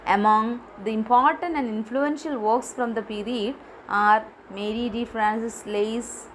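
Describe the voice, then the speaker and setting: A woman giving a literature lecture from her notes, pausing often with fillers and restarting words. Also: on the high side, slight background noise, rough recording. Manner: halting, many fillers and restarts. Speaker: teacher.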